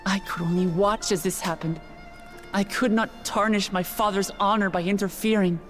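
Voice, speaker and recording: An actress voicing a dignified, resolute young royal woman in a video game, with solemn, measured delivery. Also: medium pitch, steady tone, faint music behind, natural voice. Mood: solemn grief.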